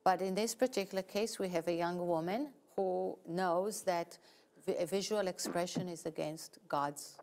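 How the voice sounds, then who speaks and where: A novelist from Israel with an Israeli accent, a woman explaining her work in an interview in a measured, precise way. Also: lowish voice, darkish tone. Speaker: guest novelist. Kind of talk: interview answers.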